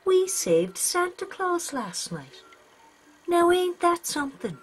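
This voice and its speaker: A woman voicing a plucky, excitable nine-year-old Irish girl with an eager, folksy manner. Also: very high voice, slow pace, pitched above the performer's own voice, full character voice, poor audio. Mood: hushed wonder. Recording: music under the voice.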